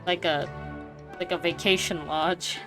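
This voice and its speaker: A woman streamer giving casual, slangy, expressive reactions to a game, exclaiming often, with game music behind her. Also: fairly low pitch, a little slow.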